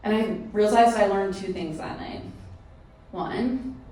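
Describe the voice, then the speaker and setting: A woman's voice: mid-range voice, takes it slowly, warm tone. A woman telling a personal story to a live audience in a casual, self-mocking way. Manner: casual, conversational, laughing at herself. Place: live event hall. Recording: very echoey room, mic from far off, faint noise.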